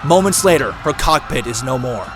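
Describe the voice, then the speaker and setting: A man's voice: mid-range voice, lively intonation, moderate pace. A man narrating a scripted action audio drama in a dramatic manner. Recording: very loud music and effects behind.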